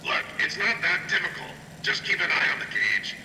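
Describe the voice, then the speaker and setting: No-nonsense mechanic, curt and fed up, distant game recording. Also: male voice, high voice, very animated, moderate pace. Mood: exasperated. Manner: curt, instructing. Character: exasperated, no-nonsense male mechanic. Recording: noisy, echoey, distant old audio log.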